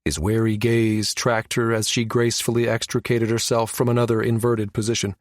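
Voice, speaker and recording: A man's voice: medium pitch, flat delivery, brisk, no room sound. Male narrator, mid-range voice, science fiction audiobook read, very dry close sound.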